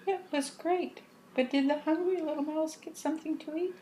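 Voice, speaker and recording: A woman's voice: steady tone, moderate pace, mellow, a little hiss or hum, natural voice. Woman voicing a small childlike mouse. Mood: sleepy curious. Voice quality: high and childlike. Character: small boy mouse listening to a bedtime story.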